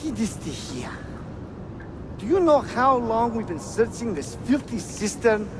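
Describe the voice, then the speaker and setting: An actor playing an irritable, impatient soldier in a game cutscene, complaining in exasperation. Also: male voice, very high-pitched, very animated, measured pace, full character voice. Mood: exasperated. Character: irritable, impatient male soldier guarding a dig. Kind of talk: dramatic video game cutscene acting. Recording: clear music behind the voice.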